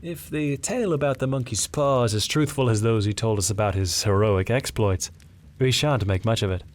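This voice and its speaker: A man's voice: on the low side, normal speed, close to an everyday voice. A man voicing a cheeky, skeptical young son with a flippant, teasing manner.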